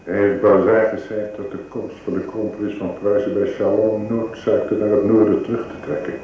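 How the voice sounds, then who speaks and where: A man playing a weary, ailing French emperor in a radio drama, languid and halting, with aristocratic phrasing. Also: fairly low pitch, level tone, natural voice. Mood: worried, brooding. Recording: muffled, very echoey room, faint hiss.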